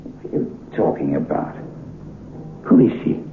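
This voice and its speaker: A man's voice: a little slow, phone-quality sound, close to an everyday voice. A male actor playing an impatient, sceptical hotel guest in an old-time radio drama, blunt and questioning. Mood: incredulous. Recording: thin, hissy vintage radio recording.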